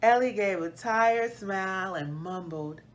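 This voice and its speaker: Slow-paced woman reading to children. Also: mid-range voice. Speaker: host of a children's book read-aloud. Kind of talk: expressive children's storybook reading. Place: small plain indoor room.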